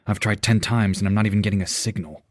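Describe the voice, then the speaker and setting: A man's voice: lowish voice, steady tone, fast talker, lightly acted. A man playing an earnest, weary superhero team leader in an audio drama. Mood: worried.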